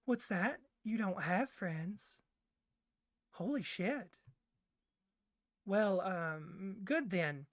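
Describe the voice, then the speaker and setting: A woman voicing a doting, clingy young yandere boyfriend kidnapper in a soft ASMR roleplay, gushing and coaxing, with flustered hesitations. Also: mid-range voice, animated, very slow, long pauses, no echo, clean studio sound. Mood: awkward, reassuring.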